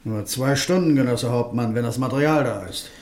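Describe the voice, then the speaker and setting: A man's voice: medium pitch, normal speed, darkish tone, natural voice. A man playing an easygoing, obliging junior police technician, wry and chatty, in a German crime radio play. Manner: wry, chatty, deferential to his boss.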